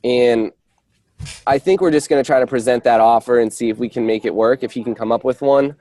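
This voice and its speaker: A man working as a real estate agent, giving an informal update on a work call in a hesitant, halting way with false starts. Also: mid-range voice, dark tone, dry recording. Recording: dry conference call audio.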